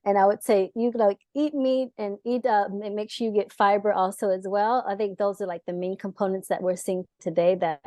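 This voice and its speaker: A young adult woman, born in the US and raised in Israel, chatting as a dietitian guest in a lively way, full of fillers and laughs. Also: mid-range voice, brisk, hardly any pauses, dry recording. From born in the US, grew up in Israel. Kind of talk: casual interview talk.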